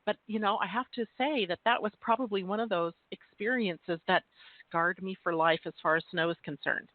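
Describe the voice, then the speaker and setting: A woman's voice: mid-range voice, normal speed, no room sound. A woman from the Pacific Northwest talks as an astrologer guest in casual, earnest chat. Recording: dry phone-like line.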